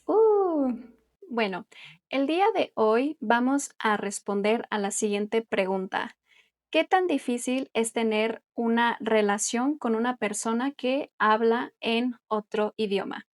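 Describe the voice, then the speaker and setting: A Mexican woman co-hosting a relaxed podcast as a Spanish teacher. Her voice sits a little higher than most women's, and she explains things at length in a friendly, chatty way. Small laughs often slip in between her points. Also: slow. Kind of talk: casual conversational podcast chat.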